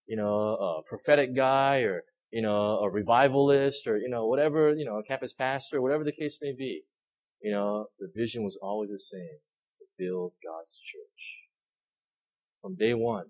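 Male pastor, husky, animated pitch, rambling conversational sermon full of fillers. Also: normal speed, rough recording. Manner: rambling, many fillers and repeated words, asks the audience questions.

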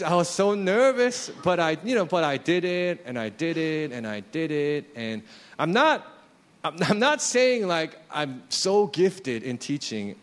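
A man in his late thirties, a pastor, preaching a sermon in a conversational, self-deprecating way. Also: a little high. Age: 30s.

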